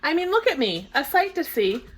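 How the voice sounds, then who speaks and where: A woman's voice: high-pitched, sing-song, normal speed, fairly bright tone, a little hiss or hum, pitched above the performer's own voice. A woman voicing a chubby, self-pitying rain puddle in a children's storybook, complaining in a wry, theatrical way. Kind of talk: children's storybook first-person character.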